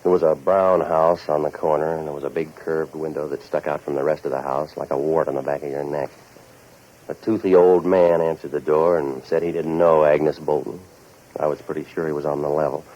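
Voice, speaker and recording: An American actor playing a cynical, world-weary private eye in a 1940s radio noir, with clipped, deadpan wisecracks. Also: male voice, a bass voice, brisk. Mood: wry, moody. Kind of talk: 1940s radio noir acting and first-person narration. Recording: muffled, noisy 1940s radio recording.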